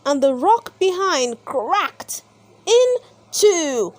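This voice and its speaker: Slow, high-pitched woman reading a storybook expressively. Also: very animated, a little mellow, no echo. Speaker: storybook reader and channel host. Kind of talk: expressive children's read-aloud narration.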